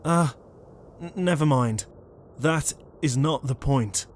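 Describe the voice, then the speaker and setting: A man's voice: a little high, takes it slowly, a little mellow, slight background noise. A man playing an anxious, easily annoyed young ghost with a sarcastic manner, in a comedy audio roleplay. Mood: exasperated.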